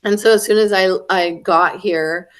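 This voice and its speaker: A young woman in casual conversation, animated and laughing as she acts out quoted voices, a little stuffy from a head cold. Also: medium pitch, normal speed, clear, resonant tone. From Florida. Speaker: retreat attendee.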